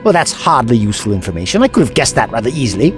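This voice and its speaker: A man's voice: mid-range voice, big pitch swings, rapid-fire, close to an everyday voice. A man playing an eccentric, witty time-travelling Doctor in an audio drama, wry, theatrical and articulate. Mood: wry, probing. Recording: music behind.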